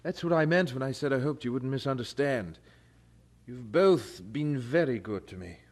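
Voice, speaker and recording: A man plays an earnest, troubled young man in a radio-style drama. His medium-pitched voice moves expressively, with a polite, hesitant, halting delivery. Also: lively intonation, close to an everyday voice. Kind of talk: audio drama acting.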